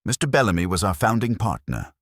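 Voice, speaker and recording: A man's voice: on the low side, very animated, fast, no echo, studio quality, voice pushed up. A man voicing a nervous, polite young publishing clerk in an audiobook, hesitant and deferential. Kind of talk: audiobook character dialogue.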